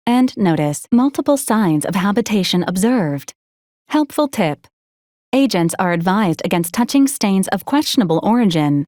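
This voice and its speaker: A woman playing a polite female robot assistant in a video game, speaking in formal, procedural phrases with a deadpan, literal manner. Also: mid-range voice, no echo, clean studio sound, close to an everyday voice. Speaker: robot companion giving mission advisories. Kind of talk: scripted video game character lines.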